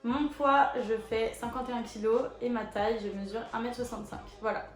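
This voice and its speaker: A woman in her twenties, a photo model, chatting casually as she answers viewer questions, with lots of relaxed fillers. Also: fairly high pitch, fairly quick. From France. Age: 20s. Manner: chatty and relaxed, with many fillers like 'du coup' and 'voilà'. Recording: echoey room with faint background music.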